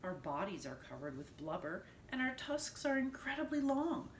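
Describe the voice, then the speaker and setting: A woman's voice: medium pitch, sing-song, normal speed, distant mic, pitched above the performer's own voice, close to an everyday voice. Woman as a grumpy, proud, emphatic walrus. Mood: grumpy pride. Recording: roomy echo with faint noise.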